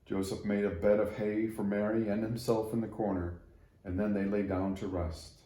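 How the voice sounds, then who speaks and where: Male children's story reader. Low, dark, relaxed tone, echoey room. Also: moderate pace. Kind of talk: read-aloud storytelling for children. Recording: roomy echo.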